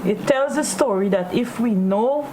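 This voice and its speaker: A woman's voice: on the high side, moderate pace, warm tone, slight background noise, rough recording. A woman in a discussion, speaking in an earnest, emphatic way.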